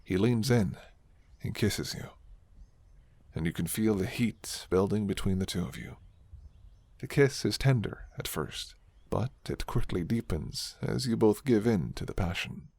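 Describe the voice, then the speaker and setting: A man's voice: on the low side, expressive, a little slow, vocal fry, darkish tone, breathy edge, dry recording. A man reading a scripted second-person fantasy romance as narrator of an ASMR roleplay.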